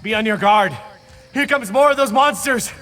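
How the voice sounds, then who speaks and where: A man's voice: high voice, normal speed, fairly bright tone, soft background music. A man playing a flippant, self-mocking young adventurer in a video game, with a wry, breezy manner. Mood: alarmed. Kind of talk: video game character acting.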